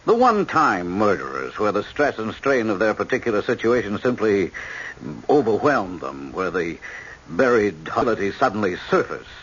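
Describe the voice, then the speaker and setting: An American actor playing an obliging prison warden with firm authority, measured and courteous in a radio drama. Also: male voice, lowish voice, very animated, fairly bright tone, slightly rough, full character voice. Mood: candid, accommodating. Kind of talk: 1970s radio drama acting. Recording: dull, band-limited old radio broadcast.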